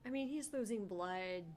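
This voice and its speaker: A woman's voice: mid-range voice, a little mellow. A woman co-hosting a gaming show, reacting casually with blunt, sardonic, sweary commentary. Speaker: gaming channel co-host.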